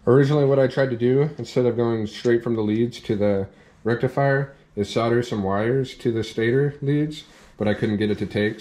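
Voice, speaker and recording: A young man in a workshop, calmly walking through a hands-on repair step by step, with plain practical talk. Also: medium pitch. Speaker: off-road channel host demonstrating a repair. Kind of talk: hands-on how-to explanation. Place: indoor workshop at a workbench.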